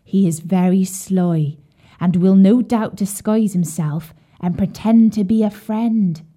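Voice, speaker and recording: A woman voicing an old, dying mother pig who speaks earnestly and a little louder, full of care for her young. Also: medium pitch, slow pace. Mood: earnest warning.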